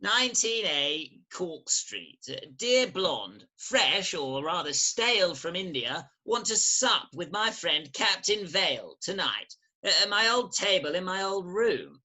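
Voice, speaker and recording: A man playing a cocky, boisterous young gentleman in a Victorian farce reading, hearty and egging others on. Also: sits high, sing-song, unhurried, heavily acted, low-quality recording. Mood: breezy. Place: recorded remotely at home over video call.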